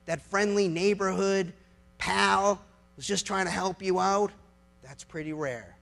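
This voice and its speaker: High-pitched, gravelly American man giving a speech. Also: a bit bright, faint music behind. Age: middle-aged. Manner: emphatic, rhetorical questions, dramatic pauses. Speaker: lawyer giving a public speech. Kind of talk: impassioned political speech to a live audience.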